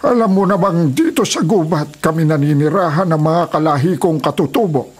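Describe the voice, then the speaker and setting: A man's voice: a little high, expressive, normal speed, breathy edge. A man playing a principled, peace-loving old forest elder in a Filipino radio drama, earnest and firm, with a Filipino accent. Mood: firm confrontation.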